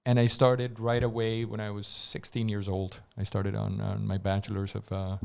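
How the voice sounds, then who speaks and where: A man's voice: on the low side, completely flat delivery, normal speed, gravelly, darkish tone, smooth. A man from Colombia, a guest engineer, telling his story on a casual radio talk show with many restarts and repeated words.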